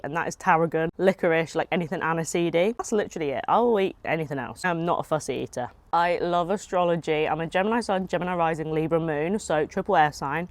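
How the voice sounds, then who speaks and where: Chatty young woman, low dry voice. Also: no echo. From Japan. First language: Japanese. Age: 20s. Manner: relaxed, chatty, pauses to eat. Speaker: food vlogger. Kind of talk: casual eat-with-me chat. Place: outdoors.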